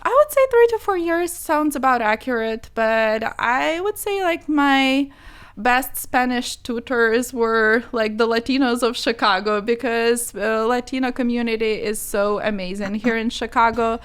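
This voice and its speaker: A woman's voice: a little high, unhurried, clean tone. A woman in her thirties from Ukraine with a Ukrainian accent, chatting as an interview guest with many filler words. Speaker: immigration lawyer guest. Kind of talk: casual interview chat.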